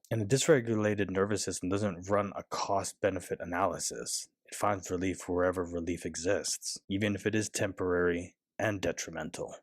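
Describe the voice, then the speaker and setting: Man, low voice, earnest and reflective, dry close sound, personal monologue. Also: breathy edge, dry recording. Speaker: self-help speaker.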